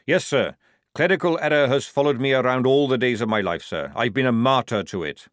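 A man voicing a gruff, sarcastic old army sergeant who barks folksy orders in an audiobook. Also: mid-range voice, brisk, no room sound, studio quality, close to an everyday voice. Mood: jovial, needling. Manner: blustering, barking commands with folksy phrases.

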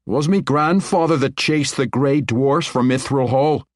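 A man's voice: medium pitch, measured pace, dry recording, studio quality, pitched above the performer's own voice, close to an everyday voice. A man voicing a young female dwarf general who speaks bluntly and proudly in a dwarven brogue. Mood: defiant.

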